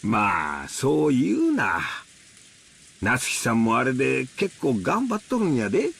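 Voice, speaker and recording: An actor playing an easygoing middle-aged husband in a Japanese visual novel, placating and folksy, with a Kansai accent. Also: male voice, medium pitch, huge pitch swings, a little fast, gravelly, fairly bright tone, breathy edge, full character voice.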